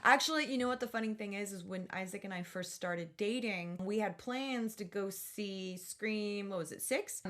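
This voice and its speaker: A woman co-hosting a podcast, chatting casually in an excitable, giggly way. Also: medium pitch. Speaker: podcast co-host.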